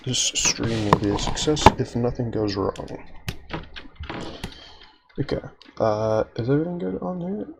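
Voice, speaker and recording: A man's voice: medium pitch, lively intonation, a little slow, vocal fry, long pauses, heavy background noise. A man streaming from home, a little nasal while recovering from an illness, rambling to himself as he sets up his stream. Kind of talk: casual stream setup chatter.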